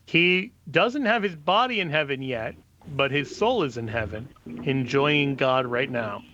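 A man's voice: mid-range voice, expressive, measured pace, pushed and bright, lo-fi sound, a little hiss or hum. A man who is a guest on a call-in radio show, patiently explaining questions of faith to callers.

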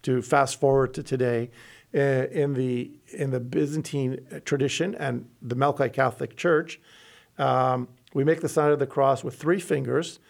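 A middle-aged man from Lebanon, a bishop, explaining church teaching in an interview with earnest, halting restarts. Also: medium pitch, steady tone, vocal fry.

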